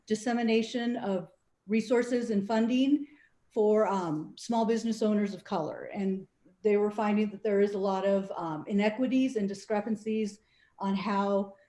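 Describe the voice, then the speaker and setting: A middle-aged woman whose first language is Spanish, speaking as a council member in a measured, explanatory way and pausing to find her words. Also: medium pitch, mellow. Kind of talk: council meeting remarks. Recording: echoey room sound.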